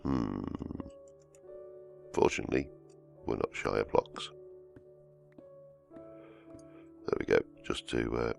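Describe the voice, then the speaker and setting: A man, croaky from a cold, hosting casual gameplay commentary and rambling as he plays. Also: very deep voice, slow pace, pauses often. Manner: rambling, self-correcting, humming to himself. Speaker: let's-play host. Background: soft background music.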